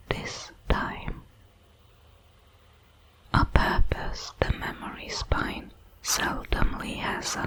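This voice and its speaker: A woman voicing a curious, solemn alien creature, a shard of a hive mind, in a whispered, halting ASMR sci-fi roleplay. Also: a bit bright, poor audio. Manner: slow, deliberate, halting over its words.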